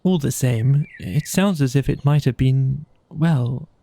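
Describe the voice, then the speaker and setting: A man's voice: mid-range voice, slow, creaky, warm tone. Man playing a meek, wistful storybook mole. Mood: loyal, wistful. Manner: hesitant, eager to please. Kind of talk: children's audiobook character voice.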